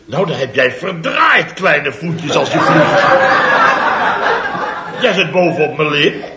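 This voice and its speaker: A blustering, teasing boss. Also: male voice, a little high, animated, slow, a loud crowd, heavy background noise. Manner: laughs through his lines, teasing. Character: blustering, mocking male company boss. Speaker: boss of a building firm. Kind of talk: 1960s radio comedy acting. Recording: lo-fi old radio, roomy, audience laughter.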